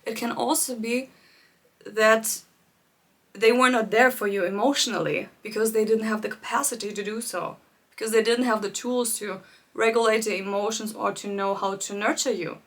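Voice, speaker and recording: A woman coaching viewers in a live-streamed talk, speaking gently and reflectively, addressing them directly and laughing lightly between her points. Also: a little high, steady tone, moderate pace. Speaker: life coach hosting a live challenge.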